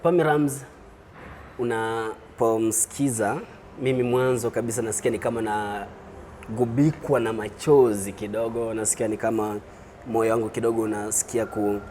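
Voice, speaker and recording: A man's voice: on the low side, fairly even intonation, moderate pace, darkish tone, slight background noise. A man with a Kenyan accent, hosting a conversational interview. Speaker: interview host.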